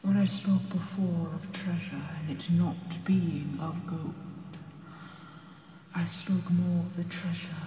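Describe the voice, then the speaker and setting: A gentle, learned female dragon, acted out by a woman in an ASMR fantasy roleplay, speaks in hushed, breathy, formal phrases. The delivery is very slow and even in pitch, proud and measured like a patient teacher. It rings with a long cave-like reverb. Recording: cavernous reverb, distant, noisy ambience.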